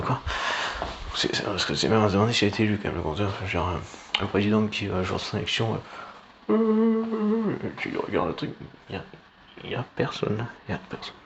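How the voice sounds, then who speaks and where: A man giving a rambling, informal political monologue in a halting, sardonic way, with slang and swearing. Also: low voice. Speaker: online political commentator. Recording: clearly noisy background.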